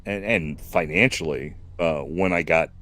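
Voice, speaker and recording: A man in his fifties hosting a casual stream chat, slow and reflective, full of fillers and restarts. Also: extremely low pitch, bright, forceful tone. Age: 50s. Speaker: stream host.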